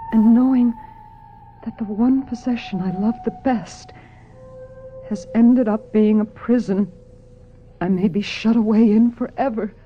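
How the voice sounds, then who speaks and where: An actress playing a wistful, troubled young female star in a radio drama, with a dreamy, breathy, dramatic delivery. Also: a little high. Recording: dull lo-fi radio, music behind.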